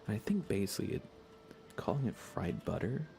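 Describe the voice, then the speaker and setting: A soft-spoken man chatting casually on an ASMR gaming livestream, rambling unhurriedly and often slipping toward a whisper. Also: on the low side, sing-song, brisk, gravelly, slight background noise. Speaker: livestreamer playing a video game.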